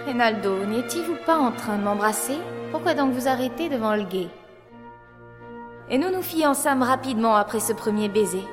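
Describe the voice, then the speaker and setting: Woman acting high-voiced, coquettish young opera apprentice. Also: animated, normal speed, close to an everyday voice. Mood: bold, commanding, then wry, proud. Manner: coquettish, commanding, formal period speech. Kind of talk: French audio drama acting. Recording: long reverb, music behind.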